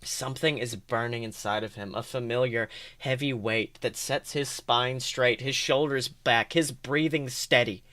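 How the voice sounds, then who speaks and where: A man co-hosting a podcast, reading fan fiction aloud as an expressive narrator. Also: medium pitch, a little slow, hardly any pauses. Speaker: podcast co-host.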